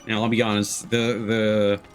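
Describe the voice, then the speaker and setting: A man's voice: fairly low pitch, completely flat delivery, slow. A man giving casual, playful let's play commentary as a game streamer. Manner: playful, jokey. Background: game music.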